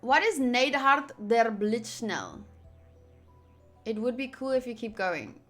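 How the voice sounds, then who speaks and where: Bright-voiced woman streaming a visual novel. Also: a little high, sing-song, takes it slowly. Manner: chatty, addresses viewers, comments between readings. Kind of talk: live playthrough commentary, reading game text aloud. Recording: game music behind the voice.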